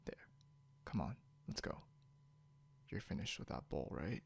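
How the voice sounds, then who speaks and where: A man playing a possessive, snarky, non-human captor in an ASMR roleplay, speaking softly and deliberately, often close to a whisper, with smug condescension. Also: rumbling low, sing-song, exaggerated voice. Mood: teasing, menacing. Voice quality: soft, breathy, often near whisper. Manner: smug, teasing, slow and deliberate.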